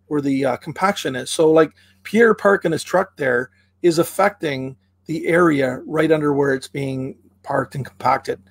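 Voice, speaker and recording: A man from Canada explaining tree care in a casual chat, relaxed and full of fillers and restarts, with playful comparisons. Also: a little high, no echo. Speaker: tree care expert guest.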